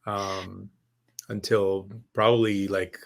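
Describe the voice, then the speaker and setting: A middle-aged man from Mexico, a musician guest recalling his start in an unhurried, thoughtful way. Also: sits low, flat delivery, gravelly. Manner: thoughtful, many fillers and restarts. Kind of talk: podcast interview.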